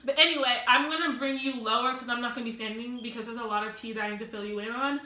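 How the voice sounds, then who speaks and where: A teenage girl vlogging at home, telling a rambling storytime with loud laughs and many restarts. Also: on the high side, steady tone, fast talker, roomy sound, noisy background. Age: teens. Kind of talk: casual storytime vlog. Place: at home, sitting on the floor.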